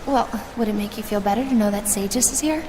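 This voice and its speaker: An actress playing a caring, excitable friend in an audio drama, eager and sympathetic. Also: female voice, medium pitch, brisk, noisy background, close to an everyday voice. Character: caring, excitable female friend offering comfort and encouragement.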